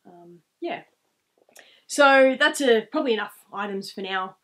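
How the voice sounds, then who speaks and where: A woman's voice: on the high side, lively intonation, a little slow. A woman in her thirties with an Australian accent, telling stories casually to camera in a rambling, reflective way. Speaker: vlogger. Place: small room at home, indoors, seated.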